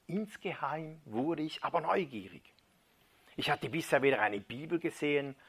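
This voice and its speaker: Bright-toned man reading a testimony dramatically. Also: mid-range voice, husky. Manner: dramatic reading, dropping to a hushed near-whisper at key moments. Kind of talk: sermon retelling a first-person testimony.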